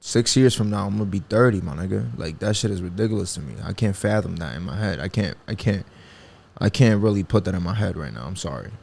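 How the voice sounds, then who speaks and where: A man's voice: low-pitched, lively intonation, fast, gravelly, darkish tone. A man in his twenties hosting a podcast, talking casually and reflectively with lots of fillers and swearing. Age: 20s. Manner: rambling, reflective, swears freely, many fillers. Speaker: podcast host. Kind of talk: casual solo podcast talk.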